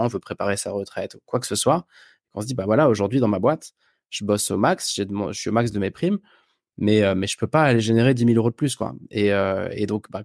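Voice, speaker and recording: A man's voice: on the low side, a little mellow, no room sound, studio quality. A man hosting a podcast, explaining things in an informal, conversational way. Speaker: podcast host. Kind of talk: conversational podcast monologue and introduction.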